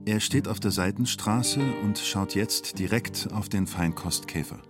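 An actor narrating a radio play in the present tense as an observant storyteller, in terse, understated fragments. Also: male voice, fairly low pitch, a little slow, music in the background, natural voice. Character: male storyteller following an onlooker at a siege, observant.

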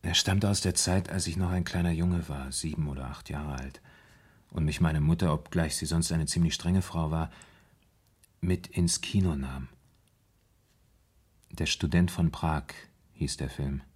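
An actor in a 1970s German radio play, playing a troubled, brooding man confessing his fear of madness in halting, dramatic storytelling. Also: extremely low pitch, fairly even intonation, husky, heavily acted. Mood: brooding recollection.

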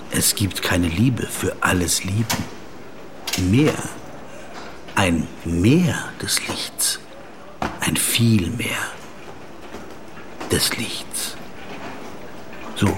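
An actor playing a pensive, insecure German business traveller in a radio play, brooding and wordy, sometimes awkwardly polite. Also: male voice, low voice, animated, very slow, pauses often, noisy background, full character voice. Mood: brooding, wistful. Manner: brooding inner monologue, shifting to awkward small talk. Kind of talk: dramatised literary monologue, radio play.

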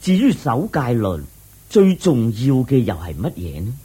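A man voicing an earnest official in a radio drama, asking a teacher questions deferentially in a husky voice. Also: mid-range voice, wildly swooping pitch, a little slow, dark tone, full character voice. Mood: inquisitive. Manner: deferential, inquisitive. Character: earnest, respectful male official of a small kingdom.

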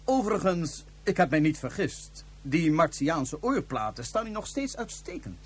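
A smug space villain in a radio drama, with a husky voice that swoops theatrically. He is pompous and mockingly courteous, pitched fairly high. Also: very animated, moderate pace. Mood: smug, mock courteous. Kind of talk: Dutch science fiction radio play acting.

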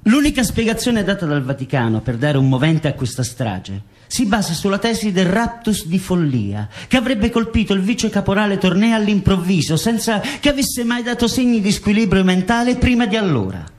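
A methodical investigator in a documentary drama, very high in pitch for a man. His explanatory delivery is emphatic, with strongly varied intonation. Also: very animated, normal speed, few pauses. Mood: grave, explanatory. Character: methodical investigator presenting forensic evidence. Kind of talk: scripted theatre recitation, documentary drama.

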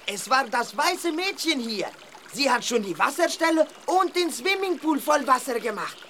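A woman's voice: sits high, sing-song, moderate pace, a bit bright, a little hiss or hum. A woman voicing an eager, friendly African village boy in a children's audio play, earnest, with simplified grammar. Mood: excited.

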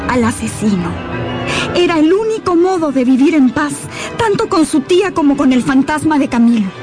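A woman's voice: sits high, animated, moderate pace. A woman narrator telling a radio novel in a solemn, suspenseful, dramatic scripted style. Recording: dramatic music behind the voice.

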